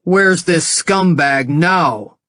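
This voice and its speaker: A woman's voice: fairly low pitch, very slow, fairly bright tone, no echo. Woman reader doing a curt, angry father, stern and clipped.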